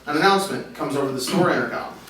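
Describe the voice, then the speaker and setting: A man's voice: a little high, fast, a bit bright. A man reading stage directions as the narrator of a script table read. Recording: echoey room, far mic, faint noise.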